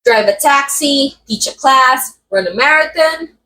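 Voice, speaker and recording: Roleplay lead: woman as a vain killer actress, high-voiced, unhurried, coyly condescending. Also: animated, pushed and bright, slight background noise, full character voice, poor audio. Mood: gloating, contemptuous. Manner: theatrical, coy, condescending, drawn-out phrasing. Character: murderous method actress, vain, sweetly menacing, theatrical. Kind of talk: ASMR roleplay acting, addressing the listener.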